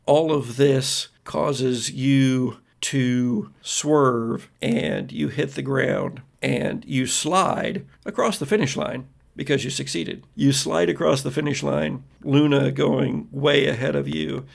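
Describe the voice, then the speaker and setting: An American man narrating a tabletop horror game as game master, telling it in a dramatic, deliberate way with trailing pauses. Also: mid-range voice, steady tone, gravelly. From United States. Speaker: game master and podcast host.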